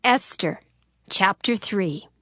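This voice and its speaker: A woman's voice: mid-range voice, animated, fairly bright tone, no room sound. Woman narrating scripture over music. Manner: formal, measured storytelling.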